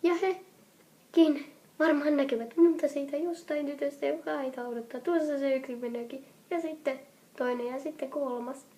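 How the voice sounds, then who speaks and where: A woman voicing an excitable, lovestruck teenage girl in a hobby anime comedy dub, stammering and melodramatic. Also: high-pitched. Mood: dreamy, yearning.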